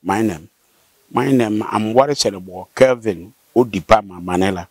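An older man with a southern Nigerian accent, explaining local history conversationally and haltingly, with affirming hums. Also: on the low side, a little mellow, no echo. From Rivers State, southern Nigeria. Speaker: interviewee, local elder. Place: outdoors, seated by corrugated metal fence. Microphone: handheld interview mic held by host.

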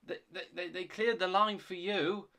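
A man voicing a timid, kindly male tram engine in a children's story, with a quiet, shaky, stammering delivery. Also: high voice, fairly even intonation, moderate pace, voice pushed up. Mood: nervous, shaky. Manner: stammering, hesitant.

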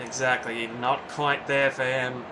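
A man calling a video game football match as play-by-play commentator, building to shouts at big plays. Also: medium pitch, measured pace, background noise. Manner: excitable, calls plays and shouts at big moments.

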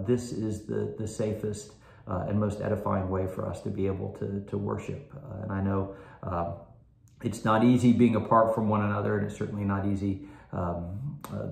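Halting priest speaking in a roomy home. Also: male voice, lowish voice, creaky. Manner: halting, many fillers and restarts. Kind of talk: informal address and collect prayer. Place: home room, indoors. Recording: roomy echo.

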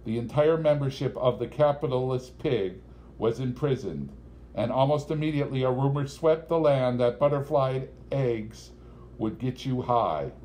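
A man's voice: mid-range voice, moderate pace, a little mellow, a little hiss or hum. A man reads literary fiction aloud as an audiobook narrator.

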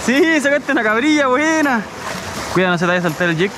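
A man with a Chilean accent chatting casually and excitedly as he fishes outdoors, using slang and repeating short phrases. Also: very high voice, quick pace, very noisy. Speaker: fishing vlogger. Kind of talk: casual vlog chat while fishing. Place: outdoors on a rocky seashore. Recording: loud music behind the outdoor voice. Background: loud music.

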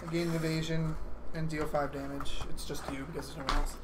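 Male board gamer, fairly high voice, hesitant and casual, noisy livestream sound. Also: flat delivery, brisk. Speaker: board game player. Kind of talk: casual tabletop game chat on a livestream. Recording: noisy livestream capture with room ambience.

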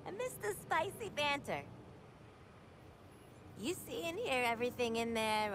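A playful young game villainess. Her voice is fairly high and bounces around as she teases and chatters. A clear hum of ambience runs behind. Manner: teasing, chatty. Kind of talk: video game voice acting.

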